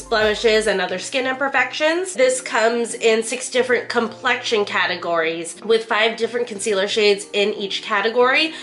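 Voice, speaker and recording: A woman's voice: mid-range voice, a bit bright. A young woman reviewing makeup in a chatty vlog, sounding congested and nasal from the flu and filling her talk with "like". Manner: chatty, lots of "like" fillers. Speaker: beauty vlogger. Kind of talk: casual product review.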